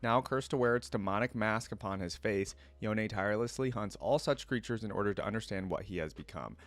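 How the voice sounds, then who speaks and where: A man giving casual reaction commentary, reading aloud and thinking out loud in an informal, musing way. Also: on the low side, a little fast, dry recording. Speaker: reaction video creator.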